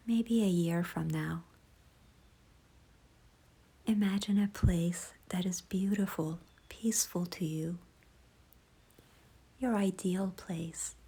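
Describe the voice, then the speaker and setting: A woman leading a guided visualization in a soft, hushed, breathy voice, gentle, unhurried and soothing. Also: on the low side. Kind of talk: slow guided meditation narration.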